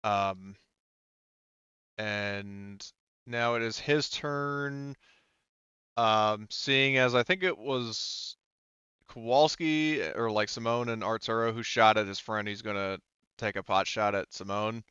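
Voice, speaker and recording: Rambling man, smooth dry lo-fi voice. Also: fairly low pitch, fairly even intonation, slow pace, bright, forceful tone, no room sound. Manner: rambling, talks in broken-off phrases with frequent 'like' and 'you know'. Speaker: game master. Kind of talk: explaining tabletop game rules and narrating combat.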